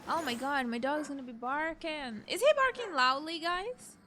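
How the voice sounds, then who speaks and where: Expressive, high-voiced woman streamer. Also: normal speed, noisy background. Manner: expressive, reactive. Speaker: streamer playing a game. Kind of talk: casual live stream chat and game reaction. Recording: game music behind the voice.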